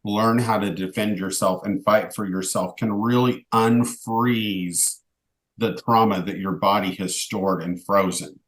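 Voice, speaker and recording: A man's voice: on the low side, fairly even intonation, unhurried. A man giving earnest, encouraging advice as a co-host in an online panel, heard over a video call.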